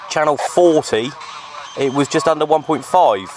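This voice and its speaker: A man giving casual, halting, unscripted commentary for an outdoor hobby vlog, recorded on a handheld camera. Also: fairly high pitch, slight background noise. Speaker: vlogger, CB radio hobbyist. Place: open hillside under trees, outdoors. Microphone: handheld camera microphone.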